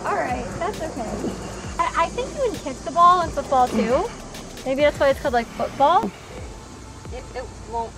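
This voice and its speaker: High, slightly hoarse young woman vlogging. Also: expressive, normal speed, rough recording. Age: young adult. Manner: giggly, filler-heavy, apologetic. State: slightly hoarse, losing her voice. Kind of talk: casual vlog talk to camera. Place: outdoor park playground. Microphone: handheld camera mic, sometimes far camera. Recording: outdoor camera audio under background music. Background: background music.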